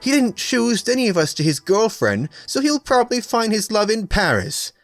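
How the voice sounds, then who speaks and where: A man voicing a haughty, jealous, sharp-tongued young woman from an anime fan story, scolding imperiously. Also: sits high, sing-song, normal speed, no echo, pitched above the performer's own voice. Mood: angry, jealous.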